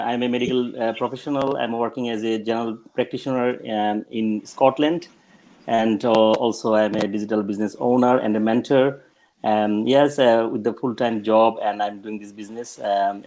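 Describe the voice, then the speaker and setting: A man from Bangladesh, a general practitioner talking as a guest on an online panel, pausing often with ums and uhs. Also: fairly low pitch, steady tone, darkish tone, rough recording. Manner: hesitant, many ums and uhs, restarts sentences. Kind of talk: casual panel chat.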